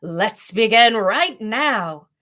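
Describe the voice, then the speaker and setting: A woman's voice: fairly high pitch, expressive, very slow, pushed and bright, voice pushed up, exaggerated voice. Woman voicing a taunting, hungry storybook tiger. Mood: hungry, eager. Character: pack of hungry, menacing male tigers. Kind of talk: storybook read-aloud.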